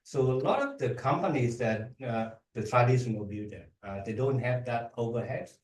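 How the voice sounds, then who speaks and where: A man with a Vietnamese accent speaking as an academic presenter, answering questions in a halting way with repeated words. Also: mid-range voice, animated. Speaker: academic researcher, guest speaker. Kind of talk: conference talk and audience Q&A. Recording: echoey room, distant microphone.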